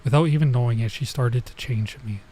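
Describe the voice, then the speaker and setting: A man's voice: medium pitch, a little fast, mellow. A man streaming a visual novel, reading it aloud and dropping in casual asides. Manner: stops to comment and translate Japanese phrases. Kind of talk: let's play read-aloud with casual asides.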